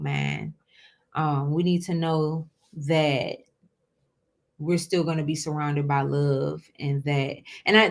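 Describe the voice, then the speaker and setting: A woman's voice: low voice, fairly bright tone. Chatty woman in her twenties hosting. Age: 20s. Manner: chatty, repeats words, addresses audience as y'all, asks okay?. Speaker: host. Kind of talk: casual solo talk to viewers.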